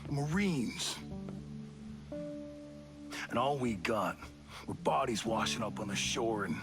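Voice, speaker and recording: An actor playing a world-weary, reproachful community leader in a video game, with blunt, folksy speech. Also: male voice, mid-range voice, expressive, slow. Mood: bitter, accusing. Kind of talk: video game cutscene acting. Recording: music playing behind.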